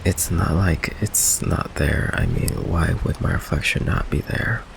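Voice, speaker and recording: A man playing an affectionate but evasive goth vampire boyfriend in an ASMR roleplay, talking haltingly with nervous stammers. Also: low voice, gravelly, background noise, exaggerated voice. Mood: defensive, flustered. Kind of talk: ASMR roleplay, intimate one-sided conversation. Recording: rain ambience behind close voice.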